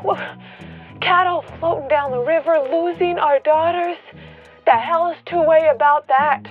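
High-pitched grieving country woman, phone-thin sound. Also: measured pace, phone-quality sound, soft background music. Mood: pleading, exasperated. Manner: stammering, pleading, exasperated. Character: grieving, angry rural woman arguing with God. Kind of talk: radio play monologue.

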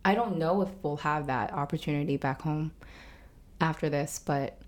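A woman's voice: low voice, moderate pace. A young woman, Cantonese her first language, chatting casually with fillers, restarts and frequent laughs. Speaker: podcast guest. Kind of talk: casual chat.

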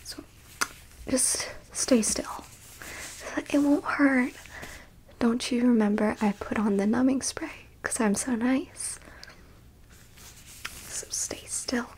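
Casting: actress for condescending, squeamish alien captor in ASMR roleplay; high, hushed whisper, coaxing manner. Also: female voice, slow pace, long pauses, poor audio. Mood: impatient coaxing. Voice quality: breathy whisper. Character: haughty, squeamish female alien abductor examining a captive human.

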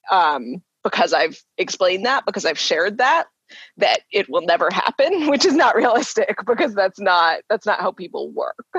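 Earnest woman sharing a story, kinda husky, dry muffled mic sound. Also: on the low side, no room sound. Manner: earnest, frank, laughs between thoughts. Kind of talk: casual personal storytelling.

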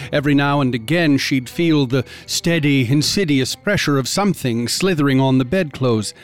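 An actor plays a grave male narrator of a horror radio drama. His slightly high voice is measured and ornate, laid over faint music. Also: lightly acted. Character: grave storyteller recounting a grisly tragedy. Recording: music behind.

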